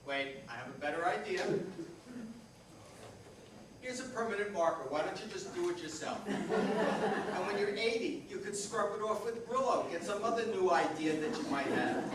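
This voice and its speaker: Dry, teasing husband in a very echoey room, acted. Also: male voice, high-pitched, expressive, normal speed. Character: wry, teasing, long-suffering husband of a seventy-year-old. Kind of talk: live staged reading of a comic play. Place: live reader's theater performance in a reverberant room. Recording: distant, very echoey, noisy live hall recording.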